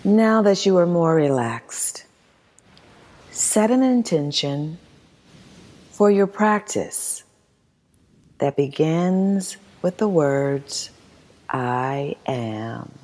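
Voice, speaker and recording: A woman leading a guided meditation as instructor, reading in a soothing, measured manner with long pauses. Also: low-pitched, expressive, darkish tone. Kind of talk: guided meditation, scripted narration. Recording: long hall-like reverb.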